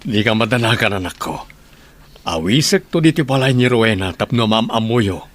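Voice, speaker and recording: A man with an Ilocano accent playing a gentle, hardworking middle-aged father in an audio drama. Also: fairly low pitch, animated, moderate pace, close to an everyday voice. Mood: warm.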